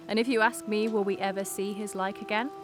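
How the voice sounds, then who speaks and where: A woman's voice: medium pitch, steady tone, fast, natural voice. An actress playing a resolute head girl giving a rallying speech in an audio drama, with a Northern Irish accent, over music and rain. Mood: solemn, resolute. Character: resolute head girl leading post-apocalyptic school survivors.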